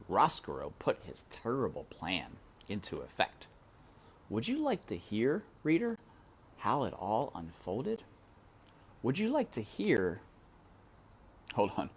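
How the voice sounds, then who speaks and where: A man narrates a storybook in a fairly low voice. His delivery is very unhurried and expressive, rising and falling widely as he speaks straight to the listener with deliberate drama. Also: breathy edge. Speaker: read-aloud narrator. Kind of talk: expressive storybook read-aloud.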